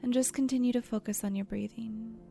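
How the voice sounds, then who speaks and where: A woman leading a guided imagery meditation in a soft, soothing, gentle voice that turns breathy at times, with unhurried pauses. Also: on the high side, fairly even intonation. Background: soft background music.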